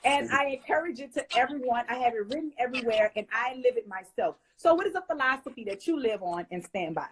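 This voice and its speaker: A woman's voice: a little high, expressive, fast, hardly any pauses, husky. A woman hosting a talk show, upbeat and affirming, speaking to the guest and to the audience as "y'all". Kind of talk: encouraging interview hosting.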